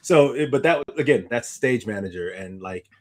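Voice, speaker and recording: A man co-hosting a casual podcast, bantering in a halting way and repeating phrases as he reasons. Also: mid-range voice, expressive. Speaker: podcast co-host.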